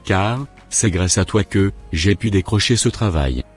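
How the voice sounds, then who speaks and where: A man playing a wayward, sheepish young swindler with a husky voice in an amateur cartoon, music behind him. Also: low voice, lively intonation, unhurried. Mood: pleased. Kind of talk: amateur animated drama acting. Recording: background music.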